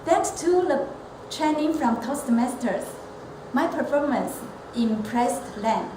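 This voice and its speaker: A woman speaks high and unhurried, setting out each phrase deliberately. Her earnest prepared speech carries some self-corrections. It was recorded in an echoey room with steady noise behind her. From Taiwan. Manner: measured, earnest, with occasional restarts. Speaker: elementary school teacher and club member.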